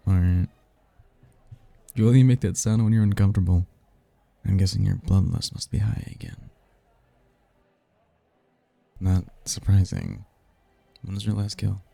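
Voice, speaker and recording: A man playing a smooth-talking demon prince in an audio roleplay, teasing and smug, with a low, husky, gravelly delivery. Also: mellow, dry recording, heavily acted. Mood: observant, coaxing. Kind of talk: audio roleplay, ASMR-style voice acting.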